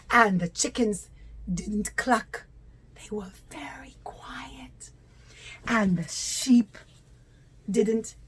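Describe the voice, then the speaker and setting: Slow, high-voiced woman telling children a story. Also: darkish tone, breathy edge. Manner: simple, emphatic, repetitive phrasing for small children. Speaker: religious teacher telling a story to young children. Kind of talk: animated children's puppet-show storytelling.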